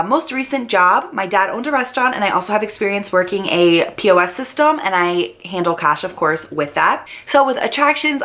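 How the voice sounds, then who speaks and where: A young adult woman talking to camera as a vlogger, walking through her prepared notes in an upbeat manner, lifting her pitch as she starts each new point. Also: fairly bright tone.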